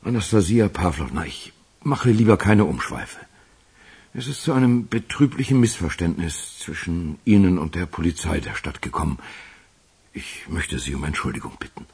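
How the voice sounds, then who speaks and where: Formal official for radio crime drama: low, rough-edged voice, stilted apologetic courtesy. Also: male voice, animated, normal speed, a little mellow, exaggerated voice. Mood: formal, earnest. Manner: stiff, wordy politeness. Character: formal, apologetic male intelligence chief. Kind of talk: German radio play acting.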